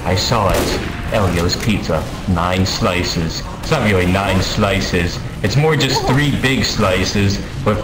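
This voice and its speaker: A man telling a mock-dramatic comic story over game voice chat, pompous and deliberate, with profanity thrown in. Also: deep voice. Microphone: gaming voice chat microphone. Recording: roomy, noisy game voice-chat audio.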